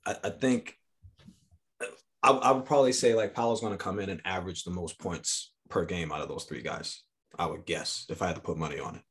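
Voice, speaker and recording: A man's voice: sits low, steady tone, fairly quick, fairly bright tone. A man hosting a casual sports podcast, chatting loosely with frequent laughs and fillers. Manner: laughs often, loose filler-heavy talk. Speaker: podcast host.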